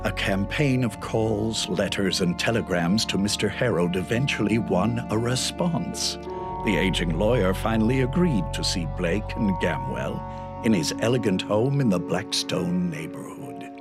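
An actor performing as the solemn, measured male narrator of a radio mystery drama. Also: lowish voice, animated. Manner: measured, storytelling. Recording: clear guitar music under narration.

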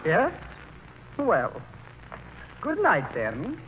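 A pompous older man in an old radio play. His high voice swoops with cold, exact politeness. The recording is muffled and noisy. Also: wildly swooping pitch, drawn-out words, full character voice. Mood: pompous, commanding. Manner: pompous, precise, formal. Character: imperious, coldly polite older man, pompous brother-in-law. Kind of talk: 1940s radio acting.

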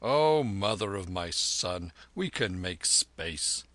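A man voicing a weary peasant husband with a gruff, grunting manner. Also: low voice, lively intonation, takes it slowly, a bit bright, heavily acted. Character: blue-turbaned peasant husband, weary and grumbling.

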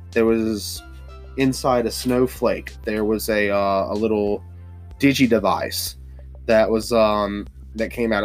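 A man hosting a casual solo podcast, stuffy-nosed and rambling with lots of fillers and restarts. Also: fairly low pitch. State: stuffy nose. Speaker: podcast host. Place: hot humid home studio with fans running.

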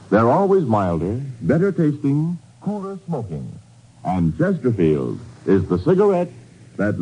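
A man's voice: fairly low pitch, dark tone, breathy edge, lo-fi sound. A man announcing in an emphatic, polished 1950s radio commercial style. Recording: vintage 1950s radio broadcast.

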